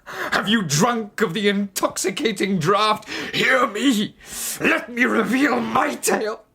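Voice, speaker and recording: An actor playing an exhausted, haunted, half-mad gentleman scientist in a dramatised audiobook, grave and intense. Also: high-pitched, expressive, vocal fry, slightly rough, full character voice. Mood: desperate, fervent. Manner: intense, slow, grave, melodramatic.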